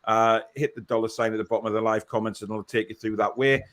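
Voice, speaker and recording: A man's voice: fairly low pitch, fairly even intonation, fast, fairly bright tone. A man hosting a live football fan show, chatting casually and reading out viewer comments.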